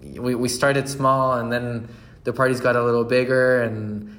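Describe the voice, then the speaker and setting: Guest di podcast: uomo con cileno, racconta ridendo spesso, frasi ricominciate, tono medio. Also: male voice, medium pitch, measured pace. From Chile. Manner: animated, laughs often, many restarts. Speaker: brand founder, guest. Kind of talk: casual interview storytelling.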